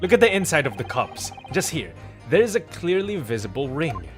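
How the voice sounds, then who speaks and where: Man playing an animated, earnest defence lawyer. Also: a little high, normal speed, faint music behind. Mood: insistent. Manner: formal, emphatic courtroom argument. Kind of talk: video game character voice reading.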